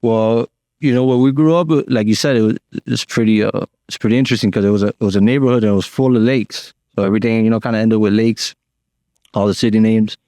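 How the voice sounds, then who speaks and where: A Cuban-born man, a guest talking casually on a podcast in a slangy, relaxed way full of fillers. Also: lowish voice, fast talker, dry recording, studio quality. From Cuba. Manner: laid-back slangy talk with many fillers.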